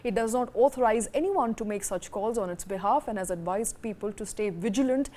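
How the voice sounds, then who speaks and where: High-voiced Indian woman news anchor. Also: a little fast, warm tone. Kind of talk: scripted news reading and studio discussion.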